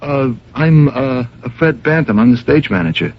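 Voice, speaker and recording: An actor playing an anxious, deferential theater stage manager in a radio drama, stammering nervously in a husky voice. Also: male voice, medium pitch, sing-song, moderate pace, slight background noise. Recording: muffled old radio broadcast.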